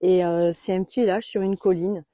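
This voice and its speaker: A woman born in Belgium and raised in southern France tells her life story in a hesitant way, pausing often with fillers and growing soft and quiet. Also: fairly low pitch, steady tone, no echo, clean studio sound. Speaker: naturopath interviewed about her life. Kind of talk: reflective personal storytelling.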